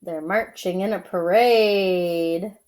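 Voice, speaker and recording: A woman reading a children's picture book aloud expressively, drawing out phrases and adding simple asides for young listeners. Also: on the low side. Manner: expressive, drawn-out delivery. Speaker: storybook reader.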